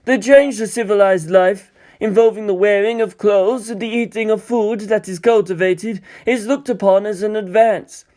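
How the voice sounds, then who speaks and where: A man's voice: high-pitched, a little mellow, pitched above the performer's own voice, lightly acted. A man voicing a serene, learned old monk teacher who explains things formally.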